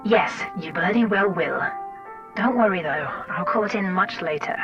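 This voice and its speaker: A woman's voice: medium pitch, lively intonation, normal speed, close to an everyday voice. A woman playing a cool, dryly teasing intelligence source in an audio drama, speaking frankly and familiarly. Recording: distant, dull lo-fi sound under music.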